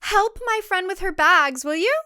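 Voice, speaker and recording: A woman's voice: extremely high pitch, lively intonation, moderate pace, bright, forceful tone, no room sound, clean studio sound, pitched above the performer's own voice, exaggerated voice. A woman voicing a perky, gushing farm-wife hostess with forced enthusiasm in a comic thriller audiobook. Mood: gushing excitement. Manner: squealing, chirping, forcedly enthusiastic.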